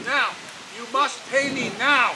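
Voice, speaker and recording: Woman playing a wealthy puppet master, high voice, theatrical and deliberate, blustering yet soft-hearted, windy noisy outdoor recording. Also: steady tone. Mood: indignant. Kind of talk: children's puppet show acting. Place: outdoors at an open-air show.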